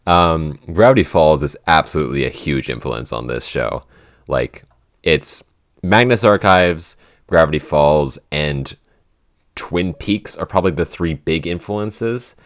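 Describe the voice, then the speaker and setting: A man's voice: very deep voice, lively intonation, a little slow, no room sound, studio quality. A man hosting a casual podcast Q&A, talking in a rambling, informal way. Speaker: podcast host and show's writer. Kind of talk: casual Q&A chat.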